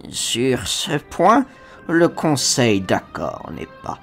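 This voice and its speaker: A man voicing an ancient little alien sage with a croaky voice, wise and calm, speaking in halting, inverted phrases in a dramatic comic dub. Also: medium pitch, faint music behind, exaggerated voice. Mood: calm, reflective. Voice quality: croaky, gravelly. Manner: inverted word order, halting cryptic phrases.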